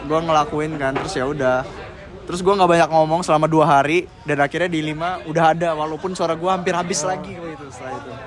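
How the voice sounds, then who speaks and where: Young man, a bit high-pitched, loose slangy answers with lots of fillers, outdoor interview. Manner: rambling, slangy, heavy with fillers. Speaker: senior member being interviewed.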